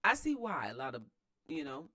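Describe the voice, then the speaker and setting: A man's voice: fairly high pitch, wildly swooping pitch, moderate pace, sharp tone. An American man giving casual, opinionated commentary as host of a read-aloud, emphatic and slangy, repeating phrases for emphasis.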